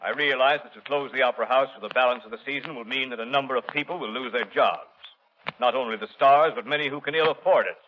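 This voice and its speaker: A man's voice: medium pitch, quick pace, natural voice. A man playing a stern, cautious police commissioner in a 1930s radio drama, speaking formally and emphatically. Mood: grave. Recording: dull, band-limited 1930s radio recording.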